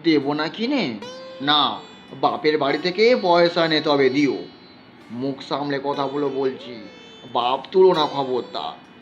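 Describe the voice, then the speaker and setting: A man's voice: fairly high pitch, measured pace, long pauses, highs cut off, faint music behind, natural voice. A man voicing a harsh, abusive village husband in an audio story, barking threats and insults. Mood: stern, suspicious. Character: hot-tempered abusive village husband, a drinker, bullying.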